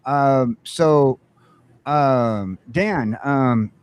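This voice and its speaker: A man hosting a casual morning chat show, rambling through geeky talk with lots of fillers and excited jumps in pitch. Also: extremely slow, clear, resonant tone.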